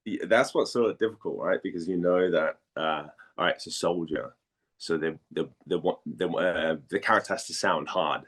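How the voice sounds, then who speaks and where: Guy from denmark w a low voice, casual interview chat, kinda hesitant, repeats himself. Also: a bit bright. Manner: hesitant, halting, repeats words while thinking. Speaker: voice actor, interview guest.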